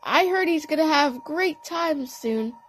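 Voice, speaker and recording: A woman's voice: high-pitched, animated, a little slow, a little hiss or hum. A woman voicing an easygoing, good-natured male DJ cat in a cartoon fan story. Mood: friendly.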